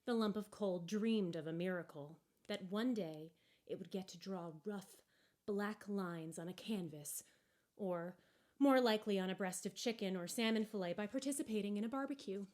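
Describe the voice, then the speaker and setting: A woman narrating a story aloud from a book in an expressive, deliberate and wry way. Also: medium pitch. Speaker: storybook reader.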